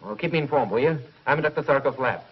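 An actor playing a commanding commissioner in a 1950s TV sci-fi serial, clipped and authoritative. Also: male voice, mid-range voice, lively intonation, fast, lightly acted. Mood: brisk. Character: brusque, commanding commissioner in uniform. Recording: muffled old TV soundtrack, faint noise.

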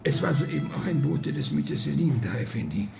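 A man's voice: mid-range voice, sing-song, brisk, vocal fry, over music. An actor playing an indignant but respectful servant reporting to his master in a radio drama.

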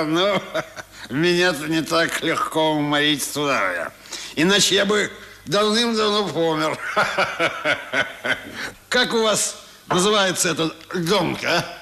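An actor playing a sly, teasing older gentleman in a 1970s radio play, repeating his questions with insistent interjections. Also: on the high side, animated, takes it slowly, pauses often, full character voice. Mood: gruffly amused.

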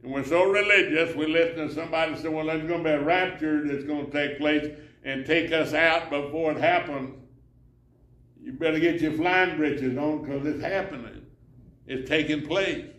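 A man's voice: on the high side, moderate pace, fairly bright tone. An older man preaching a sermon while seated in a church sanctuary, reading scripture and gesturing with his hands. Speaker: pastor. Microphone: far camera. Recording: roomy church echo, from far off.

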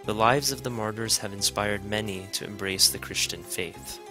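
A man reading a scripted documentary narration in a measured, even way. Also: on the low side. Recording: music bed underneath.

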